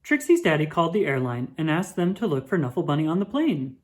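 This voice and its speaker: Female storyteller for picture-book read-aloud: low register, highly animated, dramatic emphasis. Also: quick pace. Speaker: children's book reader.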